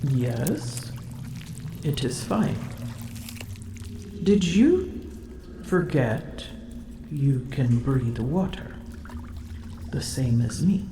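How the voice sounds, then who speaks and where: A woman's voice: extremely low pitch, sing-song, mellow, reverberant, slight background noise, full character voice. A woman voicing a proud, smug female dragon in human form, haughty and teasing, in an ASMR roleplay. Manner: haughty, teasing, slow and deliberate.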